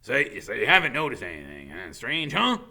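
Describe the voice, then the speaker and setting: A man voicing a gruff, burly gamekeeper in a storybook, kindly but wary, with folksy, hesitant, ungrammatical speech. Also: mid-range voice, huge pitch swings, a little fast, sharp tone, full character voice. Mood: impatient.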